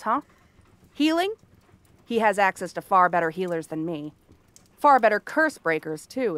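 A woman's voice: mid-range voice, dry recording, lightly acted. A woman playing a cranky, sarcastic exiled princess cursed into a frog girl, in a fantasy audio roleplay. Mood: wry, guarded. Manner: snappish, sarcastic, tsundere tomboyish bluster. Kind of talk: fantasy ASMR roleplay acting.

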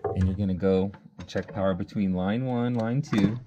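A low-pitched man explains a repair job step by step in a relaxed, off-the-cuff way, with plenty of "okay" and "all right" as he works. He sounds recorded on a camera near his hands at a workbench, with a faint hiss behind him. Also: normal speed, lo-fi sound. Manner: casual, step-by-step, thinking aloud with fillers. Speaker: HVAC technician instructor. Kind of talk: hands-on troubleshooting demo. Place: workshop bench with a test board.

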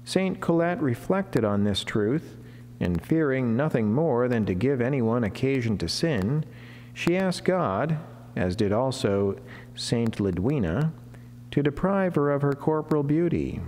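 A long church reverb and a noticeable humming background surround this man's voice. He is a middle-aged friar delivering a written devotional sermon from a chapel lectern. His delivery is formal and measured, with deliberate pauses and an average male pitch. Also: sing-song, darkish tone, a little hiss or hum. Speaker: Franciscan friar giving a daily saint reflection. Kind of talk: solemn read sermon, devotional reading. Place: wood-panelled chapel, indoors, at a lectern.

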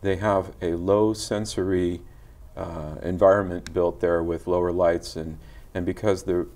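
Middle-aged man, TV studio guest, soft and gentle with hesitant restarts, somewhat low voice, lapel mic. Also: level tone, measured pace. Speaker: guest musician. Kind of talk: casual interview talk. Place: tv studio set.

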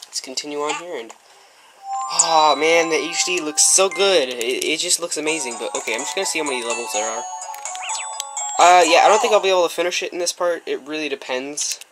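A male gameplay commentator chatting casually in an excitable, rambling way with lots of fillers, slightly stuffy with a runny nose, recorded on a camera microphone. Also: on the high side, fairly quick, poor audio. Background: loud game music.

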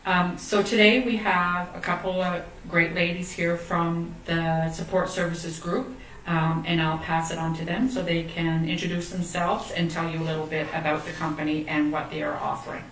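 A woman's voice: fairly low pitch, flat delivery, moderate pace, fairly bright tone. A middle-aged woman reading a formal scripted presentation aloud as an officer at a lectern. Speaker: economic development officer giving a presentation. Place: meeting room indoors, at a lectern. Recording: echoey room, distant mic, faint noise.

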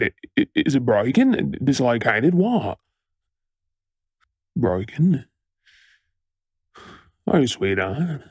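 An Australian man playing a frantic, tender boyfriend fussing over his partner in an intimate audio role-play, stammering with emotion, his voice husky. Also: fairly high pitch, a little mellow, no room sound, clean studio sound, exaggerated voice. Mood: alarmed, worried.

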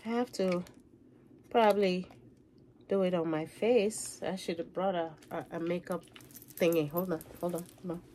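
A woman's voice: fairly low pitch, fairly even intonation, moderate pace, pauses often, dark tone, slight background noise. A middle-aged woman from Cameroon with a Cameroonian accent, chatting to camera about makeup she bought, with laughing asides and repeated words, in a room lined with handbag shelves. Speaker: beauty vlogger. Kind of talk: casual chat to camera.

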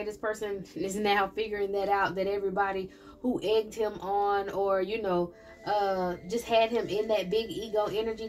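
A woman's voice: medium pitch, darkish tone. Chatty woman giving a tarot reading. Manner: chatty, talks straight to viewers as "y'all". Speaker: tarot reader. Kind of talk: casual tarot reading for viewers. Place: indoor table with candles and plants.

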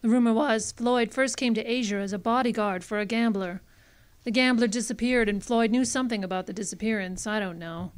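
Woman acting a femme fatale client, highish voice, anxious and pleading, hesitant, radio noir melodrama. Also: a little fast, clean tone, natural voice. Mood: evasive. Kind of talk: old-time radio detective drama acting.

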